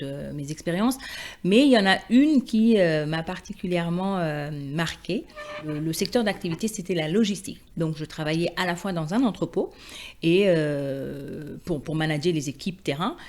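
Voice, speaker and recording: A middle-aged woman from the Democratic Republic of the Congo telling her own story conversationally, animated and often searching for her words. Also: low-pitched, normal speed. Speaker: interview guest, independent export consultant. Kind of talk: personal testimony, conversational storytelling.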